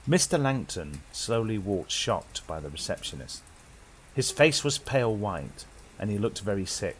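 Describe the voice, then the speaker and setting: British male horror host, theatrical and suspenseful, pitch swinging widely, faint rain-like ambience behind. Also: sing-song, a little slow, a little hiss or hum, poor audio. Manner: dramatic, suspenseful delivery. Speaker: horror story host and narrator. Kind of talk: theatrical scripted horror narration inspired by old radio.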